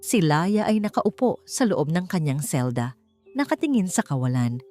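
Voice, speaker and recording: A woman narrating a scripted dramatic story with deliberate phrasing, as an audiobook narrator. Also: deep voice, sing-song, dark tone. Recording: music bed behind a dry voice. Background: background music.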